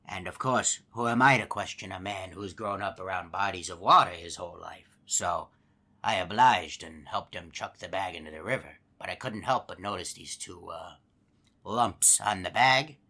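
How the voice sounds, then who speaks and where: A man doing a deep, bright, rambling hippo animatronic. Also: normal speed, creaky, exaggerated voice. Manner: rambling, folksy, full of uh and you know. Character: chatty male hippo animatronic, easygoing storyteller. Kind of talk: game character monologue.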